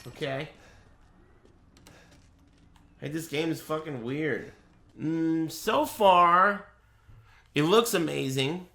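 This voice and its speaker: A man's voice: on the high side, slow, creaky, pushed and bright. A man streaming a video game live, giving casual, offhand commentary with a sardonic, profane edge.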